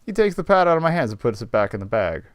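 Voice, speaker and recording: A man's voice: mid-range voice, big pitch swings, fast talker. A male game streamer reading visual novel narration aloud, with wry, sardonic asides. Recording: faint music behind voice.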